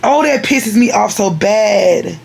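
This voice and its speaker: A woman's voice: medium pitch, lively intonation, slow pace. A woman, chatting at home at her vanity in a rambling, chatty way, speaking straight to her viewers. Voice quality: raspy. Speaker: vlogger. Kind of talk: casual chat to viewers.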